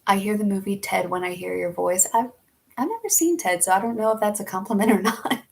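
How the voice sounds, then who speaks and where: A woman's voice: mid-range voice, lively intonation, fairly quick, gravelly, darkish tone. A young woman from Philadelphia with a Philly accent, chatting casually and laughing often as she answers viewer questions in a live stream. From Philadelphia, USA.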